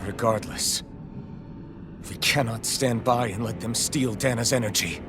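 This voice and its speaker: An actor playing a determined young male swordsman hero who speaks earnestly and shouts battle cries. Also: medium pitch, measured pace, natural voice. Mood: serious. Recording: game audio with music behind.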